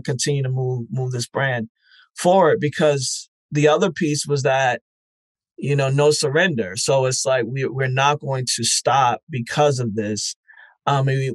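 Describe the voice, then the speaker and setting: A man who founded a festival answers interview questions in an earnest, measured way, with frequent fillers. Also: mid-range voice, dry recording.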